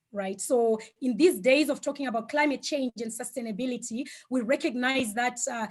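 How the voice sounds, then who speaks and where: A woman whose first language is Shona, giving an online talk over a video call, with frequent fillers and gentle hesitations. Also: on the high side. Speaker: guest speaker.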